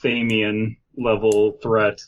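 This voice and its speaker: A man's voice: fairly low pitch, completely flat delivery, slow pace, lo-fi sound. Joking man on a comedy podcast. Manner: joking, sweary. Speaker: podcast co-host. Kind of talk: casual comedic read-and-react chat.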